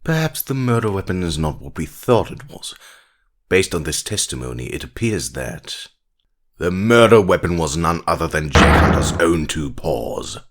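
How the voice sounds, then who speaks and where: A man's voice: low-pitched, sing-song, normal speed. A man playing a haughty, sardonic animal prosecutor in a courtroom audio drama, theatrical and condescending. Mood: scornful.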